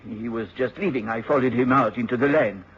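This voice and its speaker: An actor playing a suave, menacing blackmailer in a radio drama, with a husky voice and a smooth, insinuating, formal manner. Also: male voice, medium pitch, a little fast, slight background noise, close to an everyday voice. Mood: defensive. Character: suave, menacing French blackmailer, tall dark man. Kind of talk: British radio drama acting. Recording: dull old radio drama recording.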